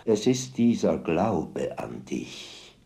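A man's voice: low voice, very animated, very slow, creaky, mellow, full character voice. An actor playing a despairing old deported man who addresses an accusing monologue to God. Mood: anguished, accusing. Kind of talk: slow dramatic monologue.

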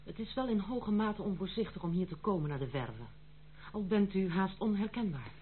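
A woman's voice: mid-range voice, expressive, moderate pace, natural voice. Firm, stern young noblewoman played by a woman. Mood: cold, reproachful. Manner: formal, firm, reproachful. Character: strong-willed, stern young noblewoman protecting her household. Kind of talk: radio play acting. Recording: tinny, phone-like old radio play, slight hum.

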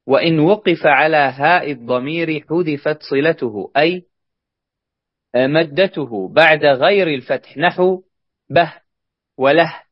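A man with a fairly high voice reads a grammar passage aloud at a steady, measured pace. He sounds a little off the mic. The recording is dull and dry. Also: dry recording. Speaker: student reading the text aloud. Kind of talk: reading a grammar text aloud.

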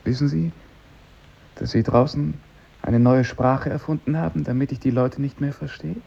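An actor playing a withdrawn, paranoid failed poet in a 1950s radio drama, hesitant and secretive. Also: male voice, mid-range voice, steady tone, moderate pace, gravelly, dark tone. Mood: agitated suspicion. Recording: muffled old radio recording.

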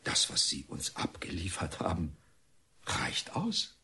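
An actor playing a stern, self-important middle-aged reformatory director in a German radio drama, speaking formally and deliberately with a husky voice. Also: male voice, deep voice, wildly swooping pitch, pushed and bright, exaggerated voice. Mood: firm, official.

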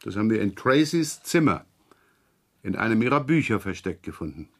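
A man's voice: lowish voice, big pitch swings, moderate pace, no echo, studio quality. A man acting a shrewd, rumpled, talkative provincial police inspector in a radio crime drama, probing and rambling with wry repetitions. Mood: businesslike, probing.

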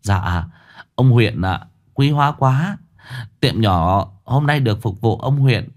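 A man's voice: mid-range voice, expressive, takes it slowly, darkish tone. A man voicing an eager, fawning male shopkeeper who speaks very politely.

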